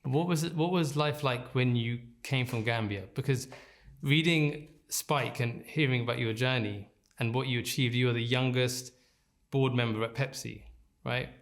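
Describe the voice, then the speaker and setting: Gravelly-voiced male interviewer. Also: medium pitch, normal speed. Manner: soft back-channel murmurs, probing questions. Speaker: interviewer, podcast host. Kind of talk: casual interview conversation.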